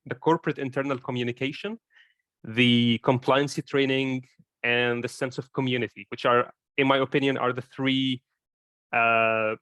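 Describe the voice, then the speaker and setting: A man's voice: mid-range voice, a bit bright, no echo. Hesitant man from Egypt, dry sound. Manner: hesitant, many fillers and restarts. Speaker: startup founder. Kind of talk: introducing himself in a live online Q&A.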